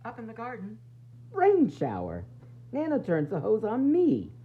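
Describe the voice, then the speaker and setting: A man reading a children's picture book aloud in an expressive, dramatic, sing-song way, with varied emphasis. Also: high voice, unhurried, slightly rough. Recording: dull lo-fi sound, faint noise and hum.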